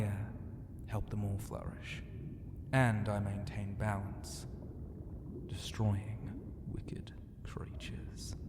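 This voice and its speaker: A man playing a flirty, self-assured ancient ocean god in an ASMR roleplay, teasing and grandiose, with a breathy voice that often drops into a whisper. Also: lowish voice. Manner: slow, teasing and grandiose, with hesitant hums and murmurs. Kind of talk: ASMR roleplay, intimate half-whispered delivery. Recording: long reverb, clear background ambient noise.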